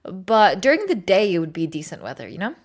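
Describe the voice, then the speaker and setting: A woman's voice: medium pitch, very animated, fairly quick. A woman from Sweden chatting casually and informally to livestream viewers, rambling with plenty of filler words and some swearing. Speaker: livestream host.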